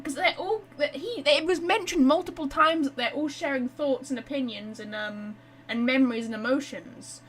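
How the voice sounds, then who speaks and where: A woman's voice: high voice, normal speed, a bit bright, a little hiss or hum. A woman giving casual, playful, reactive commentary while playing a game. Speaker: game streamer.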